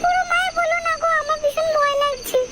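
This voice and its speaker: A very shrill, high Bengali cartoon sister-in-law acted by a woman, bossy and emotional, who nags and pleads. Loud insect noise runs behind her voice. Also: steady tone, fast. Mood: frightened pleading. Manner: nagging, scolding, pleading. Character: young married Bengali sister-in-law, sulky, bossy, foul-mouthed lover. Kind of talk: comedy cartoon dialogue.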